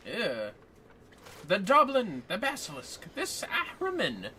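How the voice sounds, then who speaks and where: A man's voice: high voice, huge pitch swings, slow, sharp tone, a little hiss or hum. A man chatting casually on a livestream and reading game text aloud with playful, exaggerated delivery. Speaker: livestream co-host. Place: home office. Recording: distant mic with music behind. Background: music.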